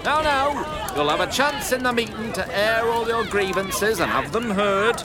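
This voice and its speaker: A pompous small-town mayor played by a man in an audio drama. His voice is fairly high and bouncy, genial and placating with a scheming edge. Clear noise runs behind it. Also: animated, a little slow, over a noisy crowd. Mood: placating, officious. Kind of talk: audio fiction drama acting.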